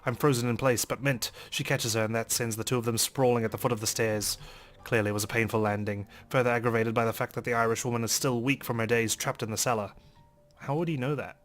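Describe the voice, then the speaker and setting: Casting: male gamer narrating a visual novel, theatrical read, medium pitch, game music underneath. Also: steady tone, quick pace. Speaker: let's player.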